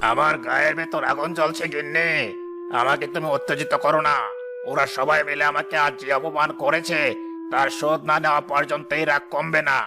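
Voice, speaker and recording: A man's voice: a little high, flat delivery, brisk, creaky. A man playing a proud village headman in a moral cartoon. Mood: angry, vengeful. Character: proud, vengeful village headman, later humbled and remorseful. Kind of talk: Bengali moral cartoon dialogue. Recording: music behind the voice.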